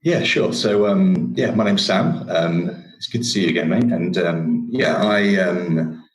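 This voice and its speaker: A man's voice: low-pitched, a little slow, rough recording. A young man from England with a northern English accent, a podcast guest telling his story casually, rambling with many fillers and restarts.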